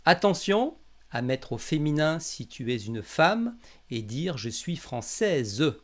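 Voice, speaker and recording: A man's voice: a little high, very animated, slow. A man from France with a French accent, teaching a language lesson in a didactic way and carefully enunciating example phrases.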